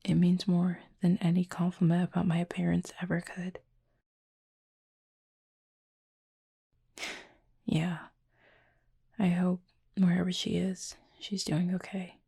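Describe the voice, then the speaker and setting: A woman playing a gentle, wistful Cupid roommate in an intimate ASMR roleplay, speaking softly and slowly in a breathy near-whisper. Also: on the low side, completely flat delivery, heavily acted. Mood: tender, wistful. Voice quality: soft, breathy, husky, often near-whisper. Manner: slow, measured, formal storytelling with soft pauses.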